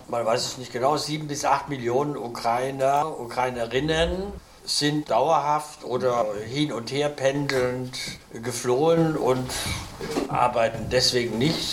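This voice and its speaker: A man from Cologne answering interview questions in a measured, lecturing way, with asides and restarts. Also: mid-range voice, echoey room, slight background noise. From Cologne, Germany. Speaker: interview guest.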